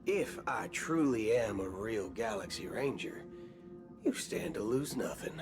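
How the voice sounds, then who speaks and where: Cowboy gunslinger, wry and cocky, lowish voice, folksy slang, game music under it. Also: male voice, expressive. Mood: drawling. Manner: folksy slang, slow drawling delivery. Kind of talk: video game cutscene acting. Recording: game audio with background music.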